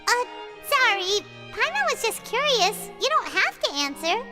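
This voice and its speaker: A woman voicing a tiny, excitable fairy companion girl in a video game, who talks about herself in the third person. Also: very high voice, a little slow, exaggerated voice. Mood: apologetic, wondering. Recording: reverberant game audio with loud music.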